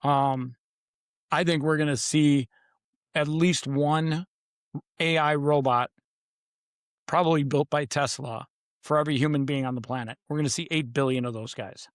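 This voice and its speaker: A man's voice: on the high side, steady tone, normal speed, long pauses, dry recording, clean studio sound. A man who is a podcast guest and author, talking in a confident, emphatic way. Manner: emphatic, confident, repeats "literally". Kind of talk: casual podcast interview.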